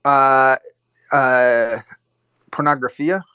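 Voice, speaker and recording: A man's voice: medium pitch, steady tone, slow, pushed and bright, clear, resonant tone, no room sound. A man in a casual online chat, talking hesitantly and restarting his sentences. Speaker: guest speaker.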